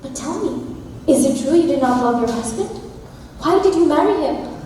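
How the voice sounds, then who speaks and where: A woman on a school stage playing an excitable, chatty young wife who is self-absorbed and secretive, speaking theatrically. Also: sits high, normal speed. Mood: earnest. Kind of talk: school stage play acting. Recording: echoey hall, distant mic, faint noise.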